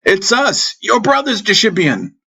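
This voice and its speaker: High, urgent shouting warrior, acted by a man. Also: very animated, a little slow, gravelly, husky, no echo, studio quality, exaggerated voice. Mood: urgent alarm. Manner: urgent exclaiming. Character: desperate warrior brothers shouting in battle, adult men. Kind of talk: dramatic fantasy audiobook acting.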